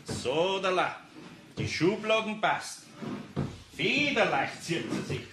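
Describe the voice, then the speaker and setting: An actor playing an older, good-natured, preoccupied carpenter with a Bavarian accent, grumbling colloquially in a German children's radio play. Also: male voice, high voice, animated, slow pace. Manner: colloquial, absent-minded muttering. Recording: roomy, faintly noisy cassette recording.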